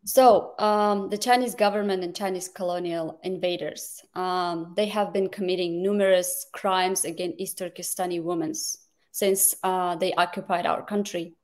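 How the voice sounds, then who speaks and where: Halting woman from Kazakhstan. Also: on the low side, fairly even intonation, darkish tone. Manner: halting, many fillers and restarts. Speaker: guest advocate. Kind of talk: interview answers.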